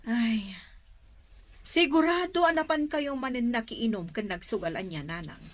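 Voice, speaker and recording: A woman's voice: on the high side, animated, normal speed, no echo, natural voice. An actress playing an adult daughter who scolds her mother in an exasperated way. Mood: scolding.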